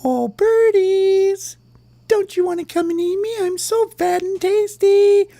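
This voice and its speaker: A man voicing a small, eager, cheeky worm in a squeaky falsetto for a children's story. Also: slow pace, creaky, no echo, exaggerated voice. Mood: singsong taunting. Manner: coaxing, excitable, stretched-out calls.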